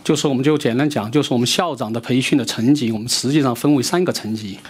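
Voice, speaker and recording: A man speaks quickly in a lecture room, his voice slightly husky. He answers in short bursts and stops so an interpreter can follow. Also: medium pitch. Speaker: visiting education expert answering questions. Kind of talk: answering a question through an interpreter.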